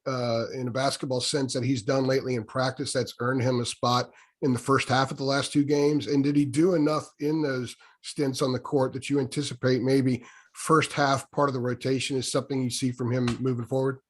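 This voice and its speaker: A man's voice: medium pitch, steady tone, normal speed. A man speaking as a reporter, asking a detailed question on a conference call.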